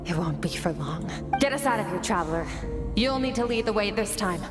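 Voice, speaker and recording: An actress playing a cool, formidable warrior woman in scripted game dialogue, speaking formally with measured, unruffled confidence. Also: mid-range voice, animated, over music, lightly acted. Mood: calm, commanding.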